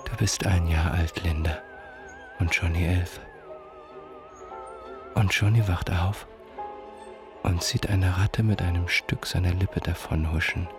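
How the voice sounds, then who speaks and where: A man's voice: low voice, fairly even intonation, music in the background. A man playing a brooding figure who recites incantatory lines in a German radio drama. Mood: brooding, menacing. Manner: slow, incantatory, repeating phrases. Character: brooding man darkly reciting a gruesome childhood memory.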